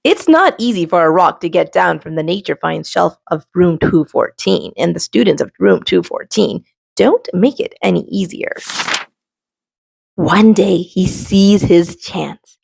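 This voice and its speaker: A woman reading a children's storybook aloud as host, expressively and with dramatic pauses. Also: on the low side, expressive, gravelly, darkish tone, breathy edge, no echo. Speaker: storybook reader and show host.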